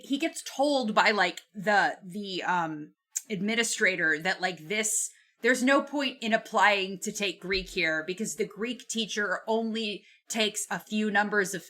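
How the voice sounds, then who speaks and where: A woman's voice: mid-range voice, pushed and bright. A woman co-hosting a casual book discussion, irreverent and sweary, with "like" peppered through her sentences. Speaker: book podcast co-host.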